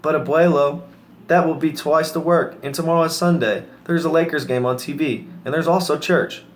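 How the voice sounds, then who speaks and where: A man's voice: fairly high pitch, normal speed, voice pushed up, close to an everyday voice. Man reading as an earnest young grandson, noisy recording. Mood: pleading. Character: eager, reluctant, soft-spoken young grandson. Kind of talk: read-aloud children's story.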